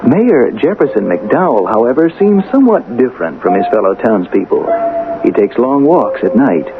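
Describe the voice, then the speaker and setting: An actor playing an educated, probing radio reporter in a vintage radio drama, speaking in a formal, measured, inquisitive way. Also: male voice, medium pitch, very animated, music in the background. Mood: portentous reporting. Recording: lo-fi old radio recording.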